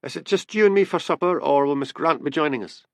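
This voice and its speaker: A man's voice: a little high, quick pace, no room sound, voice pushed up, natural voice. A man voicing a cheerful, homely male housemate who does the cooking, chirpy in manner, in an audiobook story.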